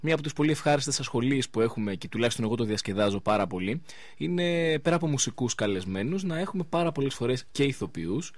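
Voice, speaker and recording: A man hosting a live radio interview in speech, chatting informally with pauses as he goes. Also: medium pitch, dry recording. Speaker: radio show host.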